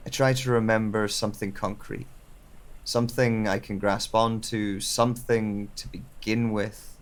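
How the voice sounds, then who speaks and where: A man playing a pensive amnesiac in an audio drama monologue, brooding in short, halting phrases as he gropes for memory. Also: fairly low pitch, gravelly, a little hiss or hum. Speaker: voice actor. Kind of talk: audio drama monologue, journal-like first-person narration.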